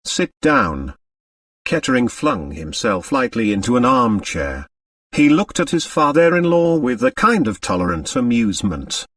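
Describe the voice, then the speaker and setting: A man narrates a literary audiobook from a script. His mid-pitched voice moves with very lively intonation. The microphone seems a little way off, though the room adds little echo. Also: moderate pace, studio quality, recorded from a distance.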